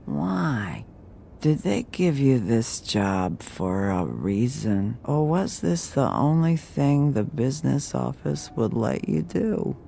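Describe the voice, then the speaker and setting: An actor playing a cynical, needling interrogator in a video game, creaky-voiced, deliberate and pointed. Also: male voice, mid-range voice, very animated, mellow, a little hiss or hum, exaggerated voice. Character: challenging, needling male interrogator, cynical and probing.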